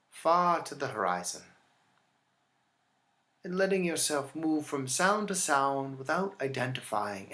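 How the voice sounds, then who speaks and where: A man teaching yoga nidra, leading a guided relaxation in a calm, instructional manner with deliberate pauses. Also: mid-range voice.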